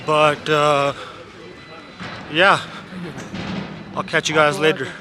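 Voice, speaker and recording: A young-sounding man from Canada talks to his camera as he walks, slow and fairly even in tone. His voice sits a little high for a man. Faint street traffic hums behind him. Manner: relaxed, unhurried narration to camera. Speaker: vlogger. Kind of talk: casual walking vlog. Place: outdoors on narrow town streets.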